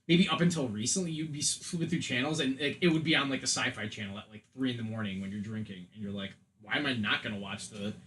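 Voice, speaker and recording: A man's voice: medium pitch, fast talker, few pauses, fairly bright tone, a little hiss or hum. A man in his thirties co-hosting a podcast, chatting casually about films in a rambling, jokey, opinionated way. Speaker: podcast co-host. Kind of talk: casual opinionated film chat.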